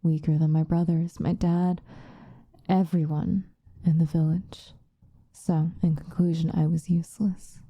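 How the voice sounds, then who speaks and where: Woman playing a dark, hushed, seductive vampire. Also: deep voice, flat delivery, dry recording, clean studio sound, heavily acted. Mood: wistful, bitter. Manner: soft, breathy, drifting into whispers, unhurried. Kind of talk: ASMR roleplay, intimate confessional monologue. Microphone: close microphone, dry.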